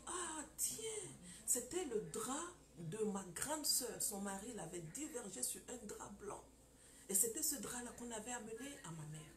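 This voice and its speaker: Impassioned woman preaching in an echoey room. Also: mid-range voice. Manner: impassioned, repeats phrases, rhetorical questions. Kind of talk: preaching testimony talk. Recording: echoey room with faint ambience.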